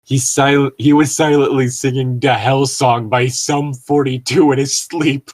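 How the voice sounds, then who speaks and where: A man's voice: mid-range voice, steady tone, unhurried, a little mellow. A man reading aloud for comedy and adding wry, mocking asides in casual banter. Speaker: co-host reading and commenting.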